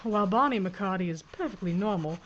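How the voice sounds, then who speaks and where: A woman's voice: mid-range voice, lively intonation, highs cut off, slight background noise, natural voice. A woman playing a tough frontier sheriff. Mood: reflective. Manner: brisk and commanding, orders in short lines. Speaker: narrator. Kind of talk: audio drama acting.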